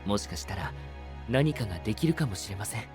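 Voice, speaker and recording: A man playing a loyal gentleman knight in an anime-style voice drama, calm, formal and very polite. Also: fairly low pitch, quick pace, over music, lightly acted. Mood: solemn, hopeful.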